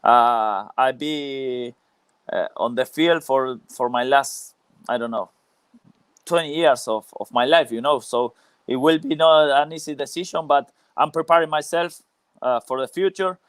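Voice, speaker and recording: Clear-voiced man, halting English. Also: medium pitch, no room sound. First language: Spanish. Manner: halting, searching for words, repeats phrases. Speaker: former professional footballer, interview guest. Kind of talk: casual live interview.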